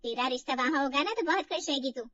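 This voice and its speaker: Coaxing middle-aged mother a female storyteller acting, high voice, reassuring, persuasive, dull audio. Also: animated, fast, a bit bright, dry recording, recorded from a distance.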